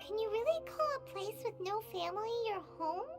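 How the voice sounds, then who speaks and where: A woman's voice: very high-pitched, lively intonation, fairly quick. A woman voicing a tiny chatty female fairy companion who speaks of herself by name, with game music behind. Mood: earnest.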